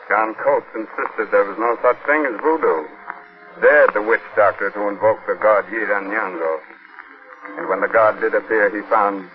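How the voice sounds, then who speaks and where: A man hosting and announcing a 1940s radio show in a theatrical, measured, sly manner. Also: on the low side. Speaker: radio host and sponsor announcer. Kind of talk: 1940s radio scripted narration. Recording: tinny, band-limited 1940s radio recording.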